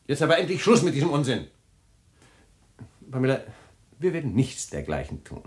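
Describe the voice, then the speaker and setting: Radio play lead: man as a rich evasive husband, lively pitch, soothing but firm. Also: moderate pace, natural voice. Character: wealthy scheming husband, smooth and evasive. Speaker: husband James. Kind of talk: German radio drama acting.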